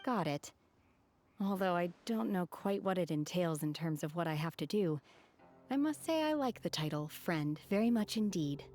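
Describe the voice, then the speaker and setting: An actress voices a reserved young woman in a fantasy video game. Her voice sits a little low, and she speaks formally with measured calm. Soft music plays faintly behind her. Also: lightly acted. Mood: quietly grateful. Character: reserved, solemn young woman raised by immortals. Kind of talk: video game character acting.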